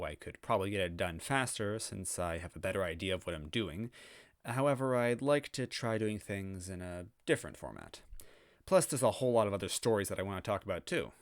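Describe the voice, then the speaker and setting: Low-voiced man in a roomy echo. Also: studio quality. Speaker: fan fiction reader and channel host. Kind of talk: casual reflective outro to viewers. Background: background music.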